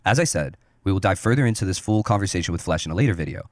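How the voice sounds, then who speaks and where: Deep-voiced man narrating a commentary video. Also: expressive, racing, dry recording, studio quality.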